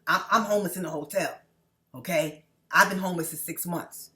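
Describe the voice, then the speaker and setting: A woman's voice: fairly low pitch, a little fast, sharp tone. A middle-aged woman from New York City, talking straight to her viewers in a rambling personal monologue. She restarts her sentences a lot and keeps checking in with "okay?". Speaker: vlogger.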